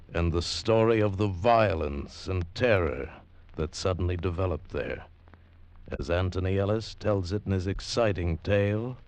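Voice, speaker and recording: A man giving dramatic 1940s radio narration, with a measured, ominous and intense delivery. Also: low voice.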